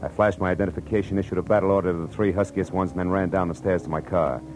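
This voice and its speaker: A man's voice: deep voice, steady tone, very fast, lightly acted. An American man playing a tough, world-weary private detective in 1940s radio drama, clipped and matter-of-fact. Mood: grim narrating. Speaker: lead actor and narrator. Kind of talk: 1940s radio acting, first-person narration and dialogue. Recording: vintage radio drama with music.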